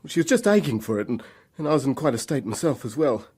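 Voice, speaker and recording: An actor playing a shaken young man in a radio drama, speaking in halting, urgent, pleading bursts. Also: mid-range voice, big pitch swings, a little mellow, breathy edge. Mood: agitated, confessional. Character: agitated, shaken young man confessing to trouble.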